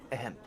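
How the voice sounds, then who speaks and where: A man chatting casually on a live stream, excitable and laughing often.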